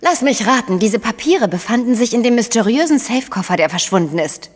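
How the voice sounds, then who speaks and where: A woman's voice: on the high side, animated, fairly quick, in a large hall. An actress playing an investigator's frank, sceptical female partner in a crime radio drama. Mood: frank, inquisitive.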